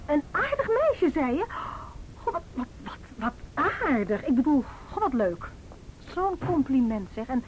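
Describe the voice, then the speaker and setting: An actress playing a sharp-tongued, defiant young woman who talks sarcastically in a naturalistic radio drama. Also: sits high, a little hiss or hum, poor audio. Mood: sarcastic, mocking. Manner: sarcastic, mockingly repeating words.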